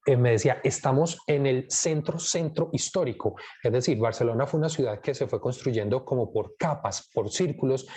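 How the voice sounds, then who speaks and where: A man with a mellow, warm voice who hosts a film club. He talks in a loose, reflective way and often stops to search for words. His pitch sits in an ordinary middle range for a man. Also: husky. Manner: reflective, rambling, thinking aloud with many fillers. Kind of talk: casual live film commentary.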